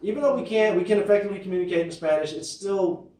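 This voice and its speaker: A man's voice: sits high, fast talker, warm tone, very echoey, recorded from a distance, low-quality recording. A man telling a travel story to camera in a chatty way, with lots of fillers and restarts. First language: English. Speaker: vlogger. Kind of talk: storytime chat.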